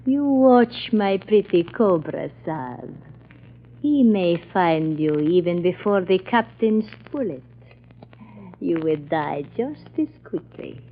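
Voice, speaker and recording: Slow, silky cobra-charming villainess. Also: female voice, lowish voice, animated. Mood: menacing, hypnotic. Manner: slow, incantatory, mock-polite. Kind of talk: 1940s radio acting. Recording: tinny, noisy old radio recording.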